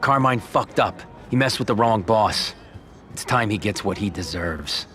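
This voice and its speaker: Video game lead: a tough, blunt mobster, soft score underneath. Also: male voice, on the low side, lively intonation, a little slow, soft background music. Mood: vengeful. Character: ambitious young male mafia soldier turned don, hard. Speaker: Dominic, mob protagonist.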